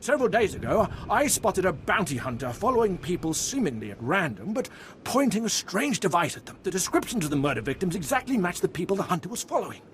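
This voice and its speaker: An actor playing an outraged, self-righteous citizen of an evil empire in a video game, indignant and declamatory, with some noise behind. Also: male voice, sits high, sing-song, quick pace, fairly bright tone. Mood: indignant accusation. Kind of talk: dramatic video game acting.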